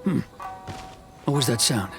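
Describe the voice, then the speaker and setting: A shady, self-satisfied tax collector from a video game, played by a man. His voice swings widely as he muses smugly to himself, greedy and watchful. Game music plays clearly behind him. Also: mid-range voice, lively intonation, measured pace, natural voice. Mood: wary. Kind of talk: acted video game dialogue.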